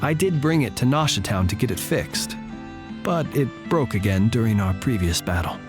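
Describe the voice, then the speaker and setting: A man voicing a courteous, formal, smooth-talking gentleman in a video game. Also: medium pitch, animated, moderate pace, over music, lightly acted.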